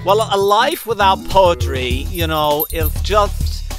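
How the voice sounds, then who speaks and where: A high-pitched, dreamy poetry lover rambles in awe with lively swoops. It is a gushing mock-documentary interview with music clearly behind. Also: male voice, slow. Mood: awestruck. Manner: rambling, awestruck.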